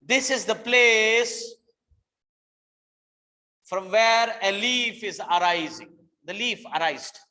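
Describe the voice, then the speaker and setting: A man's voice: sits high, slow, long pauses, sharp tone, in a large hall. A man with an Indian English accent, teaching a botany lesson online, repeating his points and questioning students, sometimes switching into Hindi.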